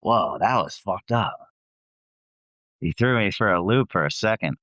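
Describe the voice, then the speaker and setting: A man's voice: on the low side, animated, a little slow, fairly bright tone, no room sound. A man chatting casually as an interview guest, rambling and self-deprecating, with some swearing. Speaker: guest, metal band vocalist. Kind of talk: casual chat.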